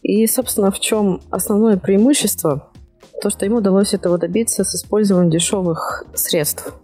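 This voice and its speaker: A woman's voice: mid-range voice, steady tone, normal speed, mellow, breathy edge, soft background music. A woman hosting a podcast, presenting tech news from notes in an explanatory way with some hesitant phrasing. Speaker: podcast host.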